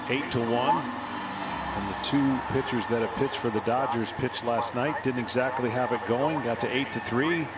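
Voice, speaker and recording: A man's voice: mid-range voice, normal speed. A man with an American accent giving explanatory, analytical color commentary on a live baseball broadcast. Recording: very loud stadium noise behind.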